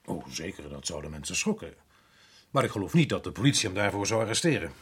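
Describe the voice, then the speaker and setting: An earnest colonial administrator in a radio drama. His husky voice swings widely in pitch, carrying both authority and troubled doubt. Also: sing-song, fast, fairly bright tone, heavily acted. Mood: sceptical. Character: earnest, troubled colonial resident official, commanding yet doubting. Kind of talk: radio play acting.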